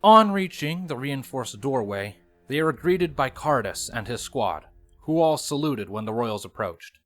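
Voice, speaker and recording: A man's voice: medium pitch, lively intonation, unhurried, fairly bright tone, soft background music. A man reading fan fiction as scripted audiobook narration, in a dramatic storytelling manner.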